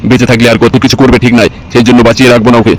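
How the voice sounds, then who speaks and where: A man's voice: mid-range voice, level tone, very fast, lightly acted. A man voicing a vengeful, crude gang boss in comic cartoon dialogue, menacing and taunting, on a noisy track.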